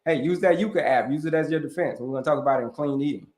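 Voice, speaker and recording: Guy hosting a health chat, talks fast, eager, repeats himself, roomy echo. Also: fairly high pitch, a little mellow. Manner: eager, repeats phrases, asks questions. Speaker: host, health coach. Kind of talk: casual interview conversation. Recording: echoey room.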